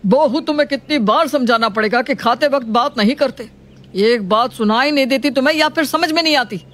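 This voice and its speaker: A woman's voice: sits high, fairly quick, a bit bright, voice pushed up. Woman putting on a stern older father-in-law. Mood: angry. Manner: stern, reprimanding.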